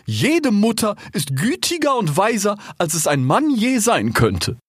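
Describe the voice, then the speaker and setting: A man's voice: sits high, wildly swooping pitch, a little slow, slightly rough, dry recording, studio quality, voice pushed up, exaggerated voice. A man voicing an assertive, indignant female giraffe in a storybook. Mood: indignant, persuasive.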